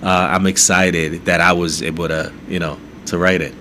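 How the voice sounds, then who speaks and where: A man from Haiti with a lowish voice, talking earnestly as a podcast guest. He keeps checking in with a "right?" and restarts his sentences as he goes. A faint noisy hum sits behind him. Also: flat delivery, moderate pace, bright, forceful tone. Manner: earnest, repeats "right?" often, stumbles and restarts. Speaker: guest author. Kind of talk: conversational podcast interview.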